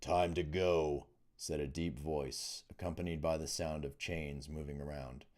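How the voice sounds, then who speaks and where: A man's voice: extremely low pitch, fairly even intonation, normal speed, a little mellow. A man reading fantasy fiction aloud as an author narrating his own audiobook.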